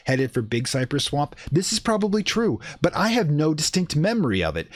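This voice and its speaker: A man performs a haunted, defensive man testifying to his interrogators in a radio play. His speech is formal, old-fashioned and emphatic, rising and falling dramatically at a middle pitch. The sound is dry, with no room around it. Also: no room sound. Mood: insistent. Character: shaken, defensive occultist's friend giving testimony to interrogators.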